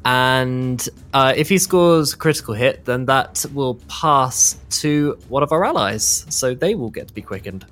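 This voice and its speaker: Slow-paced male tabletop player. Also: medium pitch, animated, fairly bright tone. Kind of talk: casual game play, narrating own character's actions. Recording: music playing under the voice.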